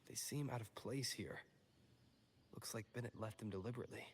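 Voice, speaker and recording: An actor playing a calm, observant male investigator in a video game. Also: lowish voice, a little fast, natural voice. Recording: long reverb with faint ambient noise.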